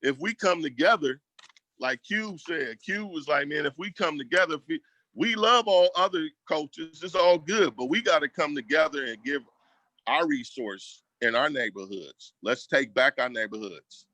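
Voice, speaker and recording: A man's voice: a little high, animated, normal speed, bright, forceful tone, no room sound, poor audio. An American man speaking casually in an interview as a school equity advocate, with an emphatic, colloquial manner that leans on repetition. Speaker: school district equity staff member and community advocate.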